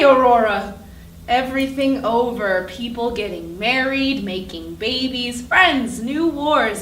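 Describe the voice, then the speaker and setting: A woman acting a haunted figure who speaks in halting, dreamlike fragments in a staged reading. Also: a little high, fairly bright tone. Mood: urgent, intense. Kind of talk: staged dramatic reading, monologue. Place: live staged reading indoors. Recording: distant, echoey room, faint noise.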